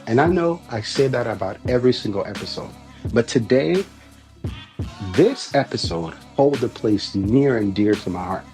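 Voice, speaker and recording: A man from Haiti with a Haitian accent, hosting a casual golf podcast interview in a lively, laughing way. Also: medium pitch, moderate pace. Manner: animated, laughs easily, says amen. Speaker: podcast host. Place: country club patio. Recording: outdoor patio with music behind.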